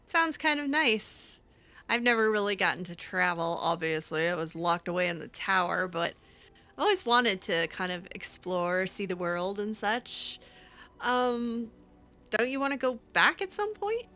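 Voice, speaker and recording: A woman voicing a kind, awkward young mage in improvised video game roleplay, speaking hesitantly and gently. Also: medium pitch, big pitch swings, measured pace, heavily acted.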